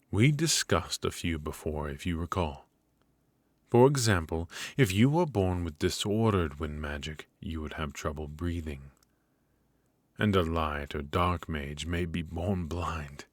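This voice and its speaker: A man voicing a cold, strict royal magic professor in an ASMR roleplay, lecturing in a measured, stern way into a close mic. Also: deep voice, no room sound.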